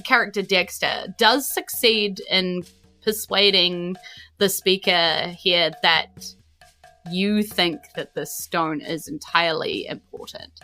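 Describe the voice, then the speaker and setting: A woman's voice: on the low side, bright, forceful tone. A woman with a New Zealand accent narrating as game master in an informal, conversational, explanatory way.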